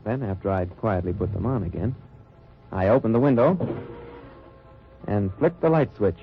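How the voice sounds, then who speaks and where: A man playing a cool, watchful undercover agent who narrates his wartime mission in measured, wry radio drama style. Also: on the low side, animated. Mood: suspenseful, watchful. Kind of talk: 1940s-50s radio drama acting, first-person narration. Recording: muffled old-time radio recording with music.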